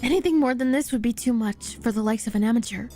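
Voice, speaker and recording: A woman's voice: on the high side, fast, warm tone, faint music behind. A woman reading a story aloud as a streamer, in dramatic first-person narration.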